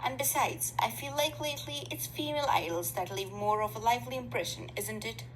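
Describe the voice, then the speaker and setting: A woman's voice: a little high, brisk, a bit bright, slight background noise, lightly acted, rough recording. A woman voicing a mild, slightly awkward teenage boy idol in an amateur anime fandub, chatting in a casual, musing way. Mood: curious, musing.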